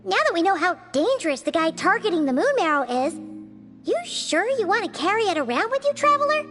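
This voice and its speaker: A woman voicing a tiny childlike fairy companion in a video game, squeaky, chatty and expressive. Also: fairly quick, full character voice. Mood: curious, frank. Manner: chatty, expressive, refers to self in third person. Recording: loud background music.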